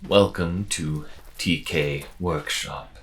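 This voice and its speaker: Friendly robot mechanic for audio roleplay male actor: calm, wry, very unhurried, creaky low register, faint background noise. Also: exaggerated voice. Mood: calm, reassuring. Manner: measured, unhurried, gently wry. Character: friendly robot mechanic, calm, dry-humoured desert rescuer. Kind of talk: slow intimate audio roleplay acting.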